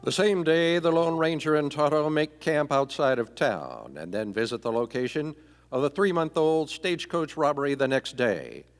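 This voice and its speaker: A man's voice: fairly high pitch, lively intonation, moderate pace, soft background music. A man narrating a scripted live Western radio play in the style of an old-time serial. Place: theatre stage, orchestral music behind.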